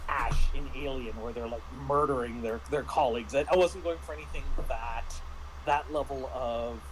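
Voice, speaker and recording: A man in a casual discussion as a game master, speaking haltingly and often restarting his phrases. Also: mid-range voice, slight background noise, low-quality recording. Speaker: game master reviewing a tabletop RPG.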